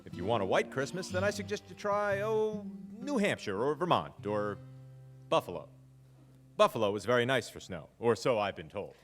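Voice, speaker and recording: A man's voice: medium pitch, big pitch swings, moderate pace, a bit bright, soft background music. An actor playing a genial, fumbling father who tells Christmas stories in a live stage audio drama. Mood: wistful, playful. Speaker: father and narrator. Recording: live hall recording with long reverb.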